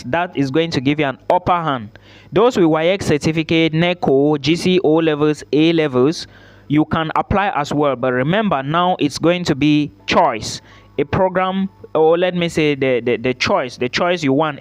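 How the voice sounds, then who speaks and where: A man hosting his own channel, giving emphatic advice straight to the viewer, repeating key points and checking in with "okay?". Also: fairly high pitch. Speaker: study-abroad YouTuber. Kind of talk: direct-to-camera advice vlog.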